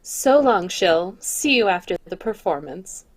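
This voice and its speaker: A woman's voice: mid-range voice, expressive, measured pace, very noisy, lightly acted. A woman playing a proud young female circus star in a 1940s-style radio drama table read, sounding indignant and taunting. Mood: weary.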